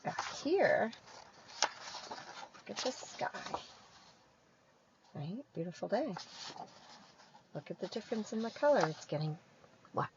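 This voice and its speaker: A woman reading a picture book aloud to children, pausing for teacherly asides and simple prompting questions. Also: mid-range voice, very animated, lo-fi sound, background noise. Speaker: read-aloud storyteller.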